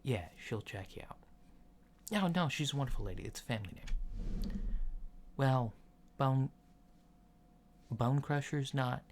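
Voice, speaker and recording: A man playing a casual, reassuring alien doctor in a soft ASMR roleplay, speaking in a mild, hesitant, matter-of-fact way. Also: medium pitch, expressive, a little slow, long pauses, pitched above the performer's own voice, natural voice. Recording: lo-fi with clear background noise.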